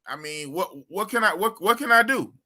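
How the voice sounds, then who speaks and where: A man hosting a live stream, talking loudly and casually with a humble manner. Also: high-pitched, animated, crowd noise. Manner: loud, slow drawl, humble.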